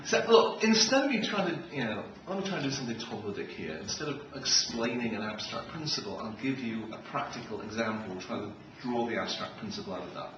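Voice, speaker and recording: A middle-aged man speaks in public with a wry, animated delivery, telling anecdotes as a keynote speaker. His voice is heard from a distance in a very reverberant hall, with clear noise on the recording. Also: mid-range voice, fast, hardly any pauses, dull audio. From Israel. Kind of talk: humorous public talk with anecdotes. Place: large room with an audience. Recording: echoey, noisy hall, distant microphone.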